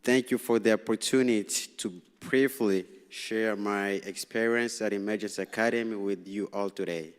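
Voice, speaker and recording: A man's voice: on the low side, steady tone, clear, resonant tone. Nervous young man from Tanzania, Swahili-native. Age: young adult. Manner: halting, nervous, restarts and repeats lines. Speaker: student representative. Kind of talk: reading a prepared speech at a public meeting. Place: large city hall meeting room. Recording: long reverb in a council chamber.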